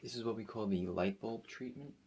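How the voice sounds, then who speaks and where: A man doing an ASMR roleplay as a spa attendant, addressing a client in a hesitant, rambling, unhurried manner. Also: on the low side, darkish tone, slight background noise.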